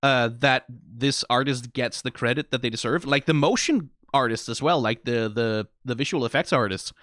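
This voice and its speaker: A man's voice: medium pitch, a bit bright, dry recording. Tired man rambling with dry, close sound. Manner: informal, freely swearing, emphatic; rambling, halting, trailing off mid-thought. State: tired after being awake for twenty hours. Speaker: host of a reaction video. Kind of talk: off-the-cuff reaction commentary.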